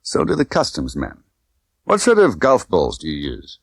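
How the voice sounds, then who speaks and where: A man's voice: low-pitched, wildly swooping pitch, moderate pace, vocal fry, husky, no echo, clean studio sound, exaggerated voice. A man voicing a tough, condescending young woman smuggler who talks in clipped, bossy slang. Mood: condescending, brisk.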